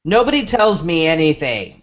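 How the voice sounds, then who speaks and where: A man's voice: fairly high pitch, sing-song, normal speed, a bit bright. A man co-hosting a show in casual, rambling chat, swearing freely, on a dull lo-fi recording. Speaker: show co-host. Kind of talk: casual chat, plugs and sign-off. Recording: dull lo-fi audio.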